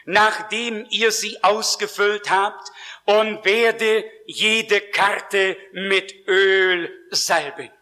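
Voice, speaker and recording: A male preacher delivers his sermon at an unhurried pace, leaning hard on key words. His voice sits high for a man and has a bright, forceful edge. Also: steady tone. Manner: slow, emphatic preaching with rising stresses. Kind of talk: sermon with personal testimony.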